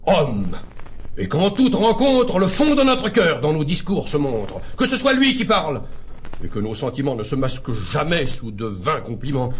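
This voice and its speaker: An uncompromising misanthrope of classical verse theatre. His fairly high voice swings widely as he declaims with indignant, emphatic force. A faint noise sits behind the recording. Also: big pitch swings, moderate pace. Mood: insistent. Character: indignant, uncompromising man who hates all humankind. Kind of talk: classical French verse stage acting.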